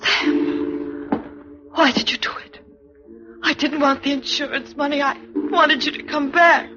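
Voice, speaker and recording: An actress playing an anxious, devoted middle-aged housewife, fretful and pleading, in 1940s radio drama style. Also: female voice, high voice, fairly even intonation, measured pace, over music. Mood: tearful, terrified. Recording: muffled, noisy vintage radio recording.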